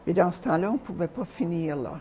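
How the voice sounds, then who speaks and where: Soft-spoken older woman, mellow voice. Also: fairly low pitch, lively intonation. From Calgary, Canada. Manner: soft-spoken, hesitant with frequent pauses. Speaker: interview guest. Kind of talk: reminiscing in an interview.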